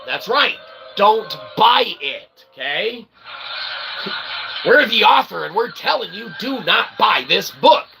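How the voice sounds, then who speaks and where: Gravelly man ranting with loud outbursts. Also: high voice, sing-song, slow, soft background music, low-quality recording. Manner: jumps between mutters and sudden loud outbursts; peppers talk with "okay?" and "literally". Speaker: self-published author and channel host. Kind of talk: rambling monologue to camera.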